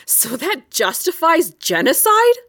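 Slow, high-voiced wronged wife. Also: female voice, wildly swooping pitch, fairly bright tone, full character voice. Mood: indignant. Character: wronged, weary wife, grave and resolute. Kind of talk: audio drama acting.

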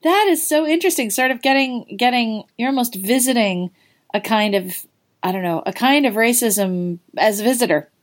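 Woman interviewer, slightly high voice, casual, inquisitive, laughs easily. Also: moderate pace. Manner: curious, laughs easily, chatty. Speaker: interviewer, host.

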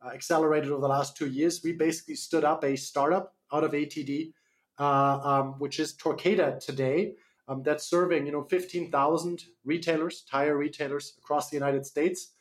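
A man's voice: a little high, fairly even intonation, normal speed. A man from Germany with a slight German accent, a startup CEO answering interview questions on radio, hesitant with many fillers and restarts.

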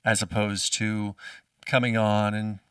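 A man's voice: lowish voice, monotone, unhurried, a bit bright, clear, resonant tone, no room sound. A man hosting an interview, asking long rambling questions full of restarts. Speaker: podcast host interviewer. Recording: dry, distant from the mic.

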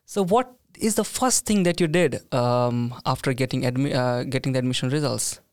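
A man's voice: medium pitch, normal speed, smooth, no room sound, clean studio sound. A man hosting a casual podcast chat, talking in a friendly way with plenty of "uh"s and "like"s. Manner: friendly, hesitant with many fillers. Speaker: podcast host.

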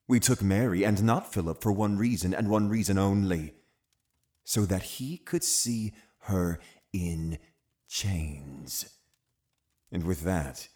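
An actor playing a cunning, zealous Puritan uncle in an audio drama, commanding and condescending with deliberate phrasing. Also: male voice, low voice, darkish tone. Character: cunning, zealous Puritan uncle, a menacing witch-hunting authority. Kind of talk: full-cast audio drama acting.

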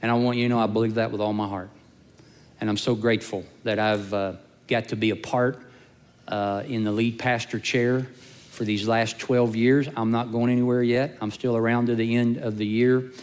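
A man speaks as lead pastor to his congregation, heartfelt and a little halting with frequent fillers. His voice sits at a middle pitch, and long reverb from the church hall trails after his words. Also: flat delivery, clear, resonant tone. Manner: warm, emotional, hesitant with fillers. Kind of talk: sermon announcement. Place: church sanctuary.

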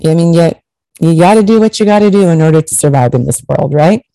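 A woman's voice: sits low, lively intonation, fast talker, warm tone, no room sound, poor audio. A middle-aged woman, born in Portugal, giving blunt, emphatic personal advice to her viewers in a candid monologue, swearing now and then for emphasis. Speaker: vlogger.

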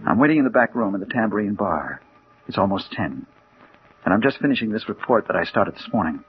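A man's voice: on the low side, sing-song, a little fast. An actor playing a haunted, smitten investigator who tells his own story earnestly in a 1940s radio mystery. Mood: brooding. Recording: muffled, noisy 1940s radio recording.

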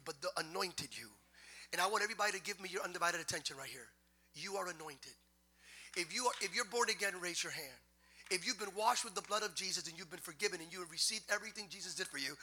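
A man preaching a sermon to a congregation, moving from quiet teaching to loud, half-sung exhortation. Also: sits high. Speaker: pastor. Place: church sanctuary.

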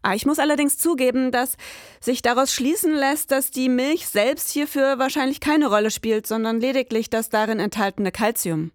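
Higher-voiced woman presenting an investigative podcast. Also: normal speed, dry recording, studio quality. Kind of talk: scripted investigative podcast narration.

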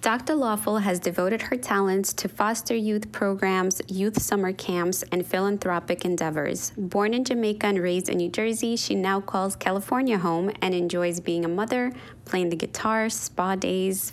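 A woman hosts a podcast in a calm, measured voice. She reads her guest's introduction at an even pace and then moves into relaxed conversation. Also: mid-range voice. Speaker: podcast host. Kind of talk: scripted intro then casual interview.

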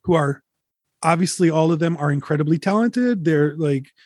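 Laid-back rambling man, fairly high voice. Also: expressive, moderate pace, darkish tone, no room sound, clean studio sound. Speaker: touring musician guest. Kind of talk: casual interview, candid storytelling.

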